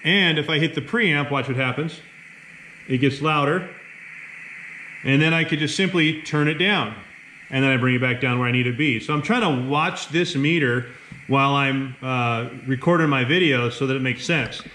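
An American man in middle age talks through a hands-on demonstration in a casual, instructional way. His voice sits at a medium pitch. He is recorded indoors on a camera mic, with noticeable room noise behind him. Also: normal speed, pushed and bright, rough recording. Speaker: ham radio operator giving a how-to. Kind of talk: casual hands-on tutorial narration. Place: indoors at a wooden table. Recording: clear background room noise.

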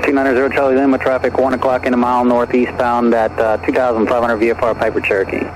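A man's voice: mid-range voice, flat delivery, fast talker. A man working as an air traffic controller, giving procedural instructions over aviation radio.

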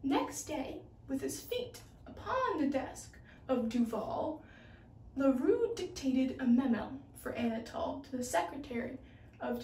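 A teenage young woman reads a children's story aloud in a deliberate manner, occasionally stumbling over a word. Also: high voice, warm tone, slight background noise. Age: teens, high school junior. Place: small room indoors. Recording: roomy, distant microphone.

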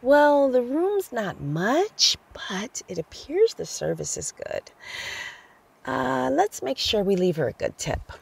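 A woman playing a teasing, good-natured newlywed bride in a radio drama, with a husky, creaky voice. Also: on the high side, very animated, slow pace, exaggerated voice. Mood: playful.

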